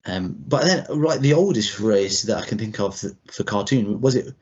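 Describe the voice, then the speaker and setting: A man co-hosting a podcast in casual chat, talking in a rambling, halting, informal way. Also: fairly low pitch, lively intonation, darkish tone, husky. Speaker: podcast co-host. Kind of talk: casual chat about retro cartoon video games. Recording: dull, muffled lo-fi audio.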